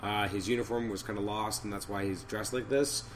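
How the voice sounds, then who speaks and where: A man hosting a podcast of off-the-cuff reviews, his voice a little hoarse and raspy from a cold, thinking aloud in a halting way. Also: fairly low pitch, steady tone, slight background noise. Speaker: podcast host. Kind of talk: unscripted comic book review commentary.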